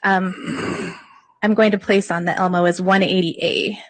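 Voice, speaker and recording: A woman prosecutor questioning a witness in a courtroom, soft-spoken and polite. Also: lowish voice, level tone, measured pace.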